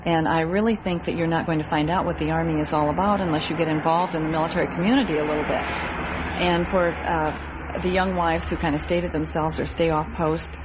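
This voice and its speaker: Woman, thirties, Midwestern American, low register, earnest and articulate radio interview guest. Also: fairly even intonation, a little fast, background noise. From Midwest United States. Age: 30s. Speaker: interview guest, military wife. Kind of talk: radio interview, answering questions.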